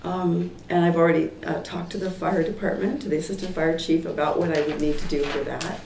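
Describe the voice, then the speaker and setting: A woman's voice: on the low side, fairly even intonation, fairly quick, vocal fry, far from the mic. A woman in her sixties, from Portland, Oregon, who is losing her voice, explaining her plans in a rambling, self-correcting way at a public meeting. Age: 60s. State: losing her voice, clearing her throat. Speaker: applicant presenting a plan at a public meeting. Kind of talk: unscripted explanation with many fillers. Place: community meeting room, indoors. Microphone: table microphone, some distance off. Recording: echoey room, voice away from mic, faint noise.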